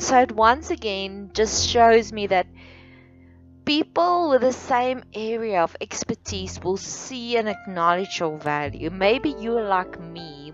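A woman from South Africa hosts her own podcast in English with an Afrikaans accent. She speaks very slowly and deliberately, sometimes restarting her phrases. The recording sounds a little dull, with faint music underneath. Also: mid-range voice. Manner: slow, careful, halting delivery with restarts. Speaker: podcast host. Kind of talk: solo podcast monologue.

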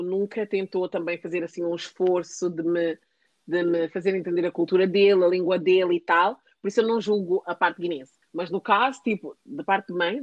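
A woman's voice: medium pitch, dry recording. A young adult woman in casual conversation, rambling and full of fillers, often restarting her sentences. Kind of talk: casual chat.

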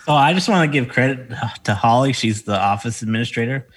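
A man co-hosting a podcast who talks casually in a modest, halting way. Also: mid-range voice, animated, breathy edge. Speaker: podcast co-host. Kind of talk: casual chat.

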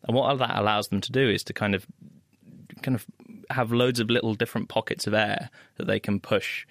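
Gravelly man explaining with enthusiasm. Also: fairly low pitch, steady tone, a little fast, fairly bright tone, no room sound, clean studio sound. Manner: enthusiastic explainer, restarts phrases. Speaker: guest scientist. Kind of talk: casual radio science chat.

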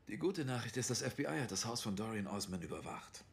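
An actor playing a brisk, matter-of-fact police investigator with a dry delivery in a dubbed TV drama. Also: male voice, fairly low pitch, lively intonation, gravelly, slight background noise.

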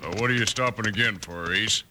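A man's voice: fairly low pitch, brisk, close to an everyday voice. A man playing a wary, doubting outlaw henchman in an old Western radio drama. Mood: wary, doubtful. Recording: noisy old radio recording.

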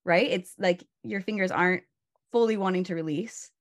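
A woman's voice: on the low side, normal speed. A woman burnout coach, a guest on a video call, explaining stress in a casual, instructive way with many "you know"s and "right?"s.

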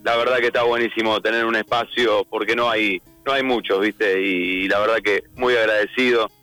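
A man's voice: on the low side, fairly even intonation, a little fast, bright, forceful tone, no room sound, faint music behind. A man with an Argentine accent, a musician giving a casual interview in an informal, appreciative manner.

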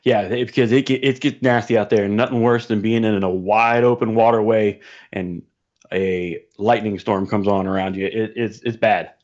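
A man's voice: fairly low pitch, fairly even intonation, moderate pace, darkish tone. A man as a podcast guest, telling stories casually in a colloquial, vivid and emphatic way over muffled livestream audio. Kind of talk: casual storytelling chat.

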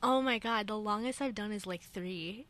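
A young woman co-hosting a college radio show, chatting casually and telling animated stories with laughs and acted-out quotes from a radio studio. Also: medium pitch, normal speed. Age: young adult. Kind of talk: casual chat.

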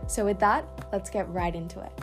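A woman's voice: mid-range voice, brisk, loud music behind the voice. Teenage girl hosting, chatty and hedging. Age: teens. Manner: chatty, hedging with many fillers. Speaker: podcast host. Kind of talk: podcast interviewing.

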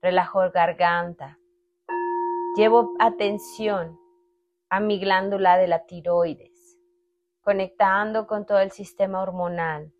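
A woman guides a meditation at a very slow pace. She speaks calmly in short phrases, leaving long pauses between them. Soft music with sustained tones plays behind her medium-pitched voice. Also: dull audio.

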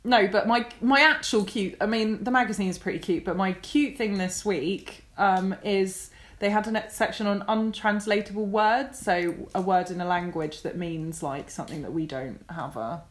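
A woman's voice: mid-range voice, moderate pace. A woman co-hosting a podcast, chatting casually and easily amazed by what she reads out. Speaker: podcast co-host. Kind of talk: casual chat.

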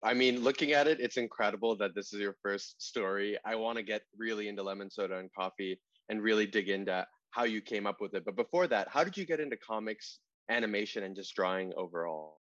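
Low-voiced male interviewer, lo-fi sound. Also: a little fast, few pauses, a bit bright, no echo. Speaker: podcast interviewer. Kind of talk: interview questions. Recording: dull lo-fi sound.

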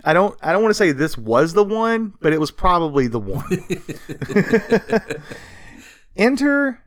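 An animated male podcast co-host tells stories in a casual, comedic style, swearing freely. His pitch swings widely as he goes, and the sound is dry and close, with very little room around it. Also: takes it slowly, dry recording. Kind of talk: casual comedic history chat from notes.

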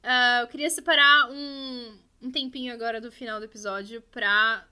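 A woman's voice: fairly high pitch, fairly even intonation, normal speed, pushed and bright, smooth. A woman narrating as game master of a tabletop game, chatty and laughing often.

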